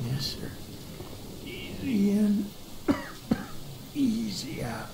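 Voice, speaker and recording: A man's voice: high voice, wildly swooping pitch, extremely slow, gravelly, very noisy, heavily acted. An actor voicing an eager, friendly older monster-hunting man in a video game, with a folksy, theatrical way of talking. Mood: dying, weak. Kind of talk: video game cutscene acting. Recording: roomy echo with music underneath.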